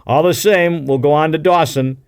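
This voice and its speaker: A man's voice: on the high side, normal speed, clear, resonant tone, voice pushed up, natural voice. A man voicing a brutal, stubborn gold rush sled driver who barks defiant commands in an audiobook.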